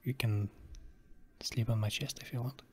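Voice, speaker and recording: Male actor for tender Russian-accented boyfriend, ASMR sleep roleplay. Medium pitch, very breathy and husky, often whispering, soothing. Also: fairly even intonation, moderate pace, close to an everyday voice. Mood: soothing, affectionate. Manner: soft, soothing, reassuring.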